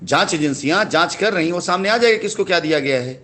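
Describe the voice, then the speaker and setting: A man's voice: on the high side, lively intonation, quick pace, sharp tone. A man talks in a persuasive phone conversation. He sounds like a company boss justifying himself, pressing each point and often repeating phrases. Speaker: company head answering a customer.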